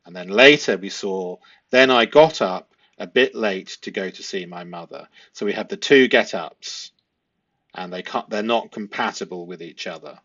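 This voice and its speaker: A man's voice: low-pitched, moderate pace, a bit bright. Man analysing, dull lo-fi recording. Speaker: host analysing a police interview. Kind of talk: analytical commentary to viewers.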